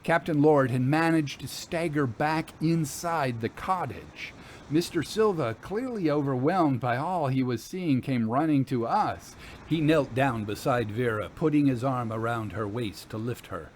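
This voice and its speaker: A male storyteller reads a ghost tale with deliberate, dramatic phrasing. His voice sits at a moderate pitch, with noticeable noise in the background. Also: lively intonation. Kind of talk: scripted first-person ghost story narration.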